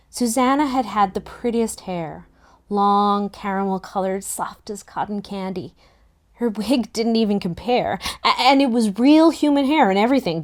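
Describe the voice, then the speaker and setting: A woman playing a teenage girl who narrates her own story in a soft, reflective way, with pointed dialogue. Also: medium pitch, natural voice. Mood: wistful, soft. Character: teenage girl narrator, wistful, indignant, easily flustered. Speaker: table-read performer. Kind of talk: first-person audiobook narration with acted dialogue.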